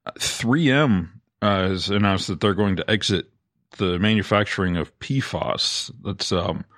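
A man's voice: sits low, a little slow, a bit bright, no echo, studio quality. A man co-hosting a podcast, presenting industry news in a casual discussion style.

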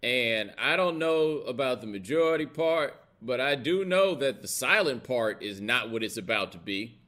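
American guy hosting a live show, mid pitched, blunt n slangy, leans on his points, keeps checking you get him. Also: expressive, normal speed, fairly bright tone. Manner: blunt, colloquial, emphatic, checks in with listeners. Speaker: host of a live commentary show. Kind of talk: casual opinionated livestream commentary.

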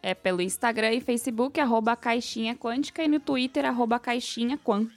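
A woman's voice: a little high, a little fast. A Brazilian woman co-hosting a podcast in a casual, chatty style. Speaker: podcast co-host. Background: faint music.

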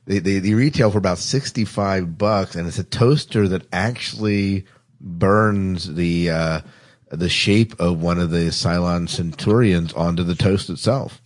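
A man hosting a podcast in casual chat, with a jovial, easygoing way of talking. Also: low-pitched. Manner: jovial, quick to laugh. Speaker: podcast host.

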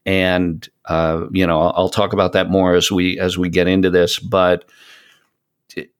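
A man talking reflectively as a podcast co-host, hesitant, often stammering on the starts of words and pausing mid-thought. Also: low-pitched, monotone, smooth. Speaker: podcast co-host, audio content creator. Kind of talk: reflective casual podcast conversation.

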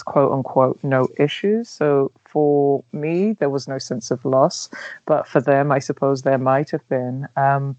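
A woman in her thirties with a London accent, a travel blogger answering interview questions thoughtfully with many pauses and fillers. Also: very deep voice, a little mellow, no echo. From Nigeria. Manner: hesitant, many ums, thoughtful. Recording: dull dry recording.

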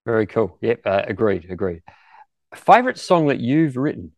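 A man's voice: mid-range voice, big pitch swings, normal speed. A man co-hosting an interview, speaking conversationally and informally as he puts questions to a guest. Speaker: interviewer, podcast host. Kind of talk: casual interview chat.

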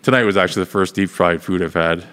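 A man co-hosting a podcast in casual chat, easygoing and quick to agree. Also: sits low, a bit bright, clean tone, in a large hall. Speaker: podcast co-host.

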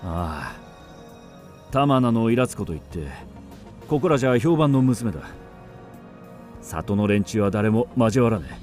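Radio drama role: blunt, superstitious ancient warrior, coarse rustic speech, very animated pitch, music underneath. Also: male voice, unhurried, long pauses. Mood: ominous, wary. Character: rough, wary, superstitious warrior of old Japan.

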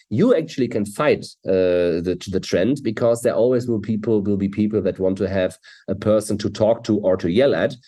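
Male expert guest, German accent, low mellow voice, conversational interview delivery.

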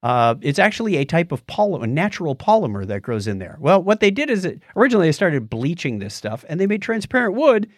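A man's voice: on the high side, sing-song, quick pace, hardly any pauses, dry recording, studio quality. A man hosting a podcast, chatting casually and explaining science news in a conversational way. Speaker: podcast host.

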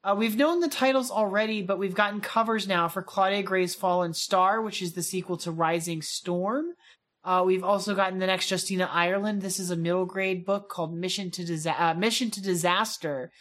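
A woman's voice: mid-range voice, steady tone, fairly quick, a bit bright. A woman co-hosting a podcast, chatty and enthusiastic in casual talk. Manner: chatty, enthusiastic, slangy, says dude and hype. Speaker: podcast co-host. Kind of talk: casual chat.

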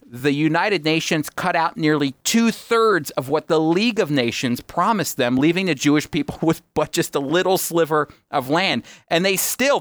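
A man's voice: fairly high pitch, fairly bright tone, dry recording. Man hosting radio commentary, dry close sound. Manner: emphatic, explanatory, asks and answers own questions. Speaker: radio host.

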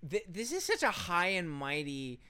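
A man's voice: sits high, wildly swooping pitch, normal speed, sharp tone, clear, resonant tone, no room sound. A man co-hosting a comedy podcast, telling a researched story casually, with emphatic, profane delivery. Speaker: podcast co-host.

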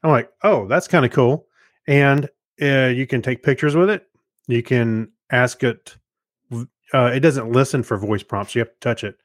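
A man's voice: mid-range voice, normal speed, dry recording. A middle-aged man with a mid-range voice co-hosts a podcast in a casual chat. He rambles through his points and quotes his own thoughts. The sound is close and dry. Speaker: podcast co-host.

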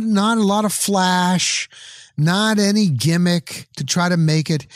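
High-pitched, effusive male sports talk host. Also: no room sound, clean studio sound. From New Jersey, USA. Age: middle-aged. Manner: effusive, emphatic, repeats phrases for emphasis. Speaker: interview show host. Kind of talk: enthusiastic sports talk and interviewing.

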